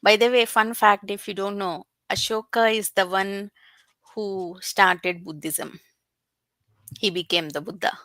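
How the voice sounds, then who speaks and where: A woman from India, who speaks English as a second language, chatting casually as a guest, pausing often with fillers. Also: mid-range voice, fairly even intonation, sharp tone, no echo. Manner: hesitant, many fillers. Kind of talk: casual chat.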